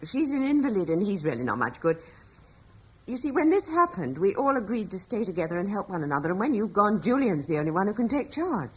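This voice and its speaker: An actress playing Serena, a gentle middle-aged noblewoman and mother in a radio drama, speaking softly and earnestly. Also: medium pitch, sing-song, fairly quick, husky, muffled recording. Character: gentle older noblewoman, mother, anxious but steady. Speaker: Serena, lady of a British estate.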